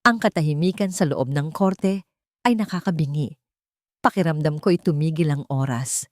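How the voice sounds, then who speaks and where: Woman, lowish pitch, scripted dramatic narration, expressive storyteller, dry recording. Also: normal speed, no room sound.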